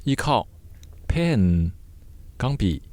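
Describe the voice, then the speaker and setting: A man teaching vocabulary word by word in measured, short separated phrases, as a scripted lesson. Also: medium pitch, very animated, darkish tone, no room sound.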